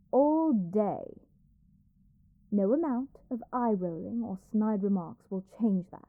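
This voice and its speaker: A woman's voice: medium pitch, no echo, narrow, tinny audio. A woman playing an arrogant young princess in a dramatic audio roleplay, haughty, petulant, theatrical and given to complaint. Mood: stubborn, haughty.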